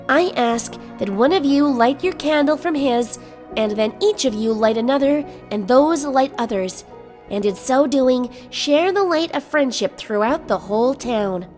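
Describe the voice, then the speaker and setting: A woman acts a young female pony princess in a fan-made audio drama. Her voice is fairly high, with an earnest, polite and articulate delivery. Soft music sits behind her.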